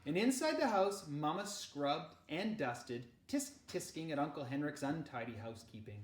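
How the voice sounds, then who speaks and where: An animated woman storyteller reads a children's novel aloud. Her pitch moves around freely with the action, and the room adds a clear echo to her voice. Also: normal speed. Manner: animated, dramatizes dialogue. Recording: roomy echo.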